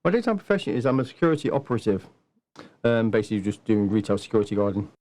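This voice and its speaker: A man's voice: on the low side, very animated, very fast, a little mellow. A man, answering casually as an interview guest in a matter-of-fact, explanatory way. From Nottingham, England. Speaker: podcast guest, martial arts instructor.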